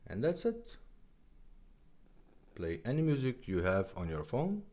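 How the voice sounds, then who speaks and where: A man who is not a native English speaker, giving a casual product demonstration in a halting, simple step-by-step way. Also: medium pitch, wildly swooping pitch, warm tone. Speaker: presenter of a vintage car radio demonstration.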